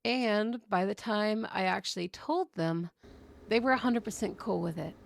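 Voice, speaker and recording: A woman's voice: mid-range voice, moderate pace, creaky, slight background noise, lightly acted. A woman playing an outgoing, cheerful young woman in a scripted audio roleplay, telling stories in a chatty, playful way. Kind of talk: scripted ASMR roleplay acting.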